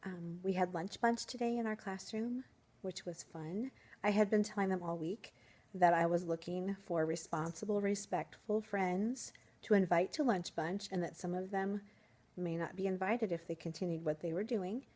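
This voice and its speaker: Young woman teacher, casual vlog delivery, slightly hoarse, chatty and upbeat, lower pitch. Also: moderate pace, mellow. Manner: chatty, upbeat, rambling with fillers. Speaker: first grade teacher, vlogger. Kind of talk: casual vlog chat to camera.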